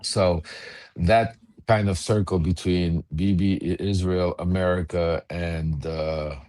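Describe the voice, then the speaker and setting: A middle-aged man from the former Soviet Union speaks in a very low voice, close and dry, with no room around it. He rambles on a video call, restarting sentences and correcting himself as he goes. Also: fairly even intonation, slow, a little mellow, dry recording. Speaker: panel guest. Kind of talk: casual discussion.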